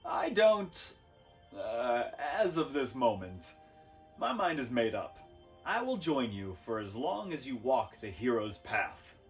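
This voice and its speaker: A man's voice: medium pitch, big pitch swings, slow, faint music behind. A man voicing a big, puppyish would-be warrior hero in a storybook, speaking with grandiose earnestness. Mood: heroic resolve.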